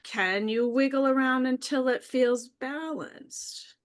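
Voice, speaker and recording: Chatty woman with a higher voice. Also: takes it slowly, clear, resonant tone. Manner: chatty, easygoing, laughs readily. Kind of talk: casual live video chat.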